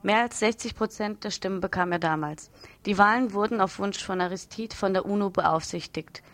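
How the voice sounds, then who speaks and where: Woman, radio newsreader, medium pitch, scripted report delivery, dry studio-like sound. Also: fairly quick, fairly bright tone, dry recording.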